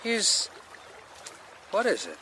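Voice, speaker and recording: A bright, fairly high male voice plays an earnest gold prospector in a radio drama. He speaks slowly in short, wondering, tender-hearted phrases with faint water behind him. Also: huge pitch swings, slight background noise, exaggerated voice. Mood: tender, awed. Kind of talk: expressive radio drama acting.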